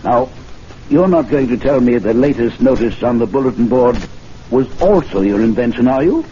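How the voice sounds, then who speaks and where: An actor playing a haughty, stuffy club gentleman in a 1970s radio mystery drama, pompous with hesitant starts. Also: medium pitch, lively intonation, normal speed, natural voice. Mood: haughty, stern. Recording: dull, noisy old radio recording.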